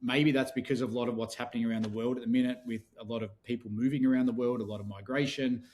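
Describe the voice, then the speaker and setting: Steady explanatory man, interview guest. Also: mid-range voice, racing. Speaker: podcast guest, business founder. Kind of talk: interview conversation.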